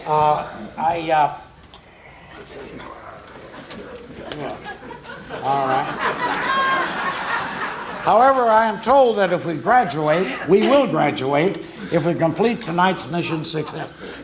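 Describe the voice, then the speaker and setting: A man's voice: fairly high pitch, lively intonation, a little slow, long pauses, a loud crowd, heavy background noise. A man playing a sheepish, earnest space cadet who speaks hesitantly and deferentially in a comic radio-style skit. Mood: embarrassed.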